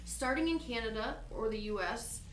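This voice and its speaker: Higher-voiced woman hosting trivia, echoey. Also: animated, moderate pace, slight background noise. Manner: chatty, giggly, reads questions then banters. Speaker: trivia host reading questions. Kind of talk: casual live-stream trivia hosting. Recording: echoey room with faint music.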